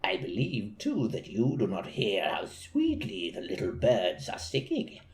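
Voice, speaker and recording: A man voicing a sly, scheming male wolf in a hushed, low, creeping tone. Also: wildly swooping pitch, measured pace, echoey room. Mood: coaxing.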